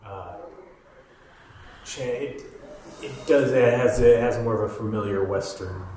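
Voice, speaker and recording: A man's voice: medium pitch, warm tone. A man taking part in a catechism class, speaking casually and hesitantly while joining the discussion. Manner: hesitant, halting, chiming in with short agreements. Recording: very echoey, heard from a distance, faint noise.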